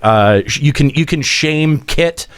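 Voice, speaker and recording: A man hosting a radio show with casual banter and rambling, halting phrasing. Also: on the high side, animated, smooth. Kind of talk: casual banter between hosts.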